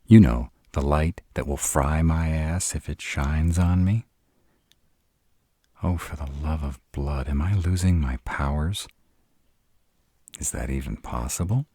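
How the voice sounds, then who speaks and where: Sardonic male vampire , played by a man for roleplay audio: husky, slow-paced, dramatic and grumpy, recorded close and dry. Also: rumbling low, mellow, no echo, heavily acted. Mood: indignant, alarmed. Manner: sarcastic, grumbling, dramatic. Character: sarcastic irritable male vampire, stranded in a human's home.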